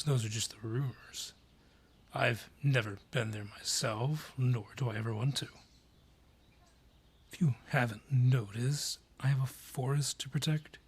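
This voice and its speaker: A man voicing an ancient, gruff dryad forest guardian in a fantasy audio drama, husky, brusque and ominous in delivery. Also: medium pitch, darkish tone. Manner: deliberate, brusque, ominous storyteller. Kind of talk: fantasy audio roleplay acting.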